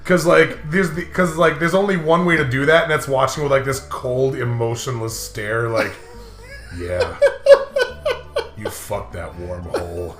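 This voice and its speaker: A man's voice: medium pitch, measured pace, fairly bright tone. A man in casual, joking commentary banter who acts out bits of mock dialogue. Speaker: gaming commentary co-host. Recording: roomy echo, faint music. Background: faint music.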